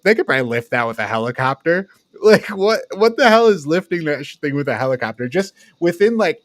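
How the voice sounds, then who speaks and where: A man talks as a panelist on a casual review podcast. He is blunt and exasperated, with loose swearing and a conversational delivery. His voice sits at an ordinary male pitch, and the sound is very dry. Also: no echo. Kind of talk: casual critical chat.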